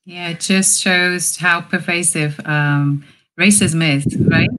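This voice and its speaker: Calm Brazilian-accented woman moderator. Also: fairly low pitch, takes it slowly, rough recording. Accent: Brazilian Portuguese. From Northeastern Brazil. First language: Portuguese. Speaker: webinar moderator. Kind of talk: moderating a live online Q&A. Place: home room, indoors. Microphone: headset.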